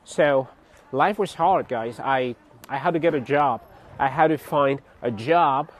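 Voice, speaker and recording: A young man from Cameroon chatting casually to his vlog viewers outdoors on a city street, laughing often and pausing with fillers. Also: medium pitch. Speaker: vlogger. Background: faint street traffic.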